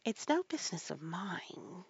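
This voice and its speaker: A woman's voice: lowish voice, very animated, measured pace, gravelly, breathy edge, dry recording, highs cut off, full character voice. A woman voicing a polite but bold, self-possessed little girl in a storybook. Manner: polite, decided.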